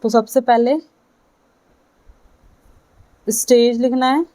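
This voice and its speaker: Indian lady lecturer, talks really slowly in little chunks, voice a bit high, explaining stuff to students. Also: steady tone, warm tone. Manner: halting delivery in short phrases, checking in with listeners. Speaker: physiotherapy lecturer.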